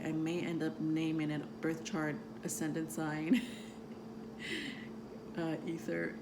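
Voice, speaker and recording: A woman with a soothing voice, who grew up outside the United States, talking about astrology to her viewers in a meandering way full of ums and soft laughs. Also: fairly low pitch, background noise. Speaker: tarot reader and astrology vlogger. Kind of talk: casual rambling talk to camera.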